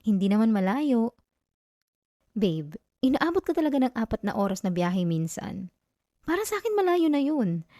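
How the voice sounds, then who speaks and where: An affectionate young Filipino girlfriend, played by a woman, speaks in a soft, mellow voice. She is tender and coaxing, with a playful scolding streak. The ASMR roleplay sounds intimate and very dry, with no room around her words. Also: fairly high pitch, no room sound. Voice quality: soft, gentle.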